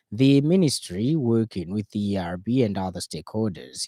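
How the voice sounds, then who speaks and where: Zambian guy reading the radio news, kinda deep voice, formal, super dry studio. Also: a little mellow, dry recording. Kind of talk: scripted news bulletin reading.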